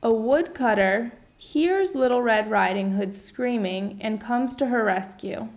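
Slow woman narrator for learners. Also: fairly high pitch, darkish tone. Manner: slow, deliberate storytelling.

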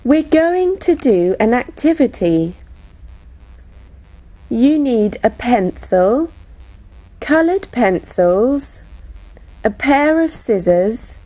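A woman slowly reading out classroom phrases for teachers, each phrase spoken separately. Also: a little high, big pitch swings, dark tone. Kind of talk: slow, clearly spoken classroom English read aloud.

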